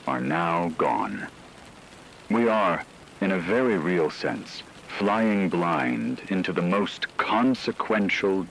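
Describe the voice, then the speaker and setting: A man playing a grave, formal radio newscaster delivering a measured, scripted emergency broadcast in a radio drama. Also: deep voice, vocal fry, fairly bright tone, slight background noise, heavily acted, low-quality recording. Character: grave male radio newscaster announcing planetary catastrophe. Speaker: broadcast announcer.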